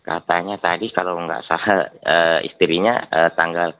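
A man on a recorded phone call, speaking politely and eagerly, agreeing quickly and quoting prices. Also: sits low, steady tone. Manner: polite, eager to please, repeats "siap, Mas". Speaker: suspected scam seller on a phone call. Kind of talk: phone conversation. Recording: tinny phone-line recording.

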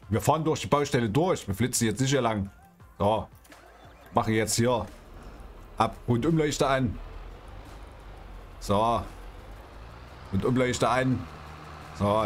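A man streaming a farm game, bantering with friends in a teasing, mock-formal way through a headset. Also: fairly low pitch. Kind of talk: playful in-character banter.

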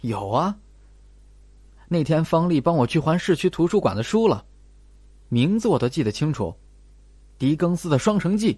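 A man voicing a scornful, defensive young female student in an audiobook, with sharp, mocking retorts. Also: a little high, sing-song, normal speed, long pauses, breathy edge, no echo. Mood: mocking, defiant. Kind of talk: audiobook character acting.

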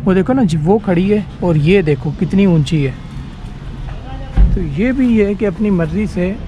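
A man's voice: high voice, unhurried, music in the background. Chatty Pakistani man touring a yard. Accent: Pakistani Urdu. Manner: chatty, pointing things out, frequent "ji" and "dekh rahe ho". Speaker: vlogger touring a vehicle workshop. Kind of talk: casual walk-and-talk tour. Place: outdoor workshop yard. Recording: outdoor street noise behind voice.

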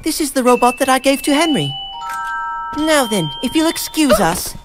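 An actress voicing a kind, earnest young boy in an animated game. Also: high voice, moderate pace. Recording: music under the voice.

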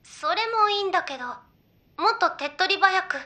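A young female idol in an anime audio drama. Her voice is high and forward, with a crisp, bright edge. She chats in a casual, girlish way and explains her ideas persuasively. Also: expressive, brisk, full character voice. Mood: earnest, persuasive. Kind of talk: Japanese anime audio drama, naturalistic banter.

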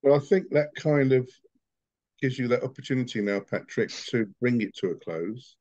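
A man's voice: mid-range voice, normal speed, darkish tone, no room sound. A middle-aged man co-hosts a podcast on a video call, teasing the others and often restarting his sentences. The call audio is dull and lo-fi, and completely dry. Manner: stumbling, teasing banter. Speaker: podcast co-host. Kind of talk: casual podcast chat.

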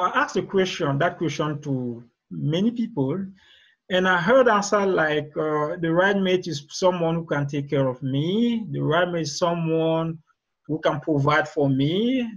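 A man with a Cameroonian accent speaks as a guest expert in an interview. His voice is high for a man and husky, and the video call audio is dull and lo-fi. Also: normal speed. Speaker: guest author and relationship expert. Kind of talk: interview answers, explaining.

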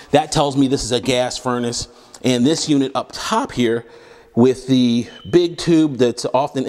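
A man's voice: mid-range voice, a little mellow. A man with a Virginian accent, a real estate agent walking through an empty house and explaining its features in a friendly, explanatory way. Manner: friendly, explanatory, corrects himself mid-sentence. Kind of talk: walkthrough home tour narration. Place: empty house with bare walls and wood floors, indoors. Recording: echoey empty rooms.

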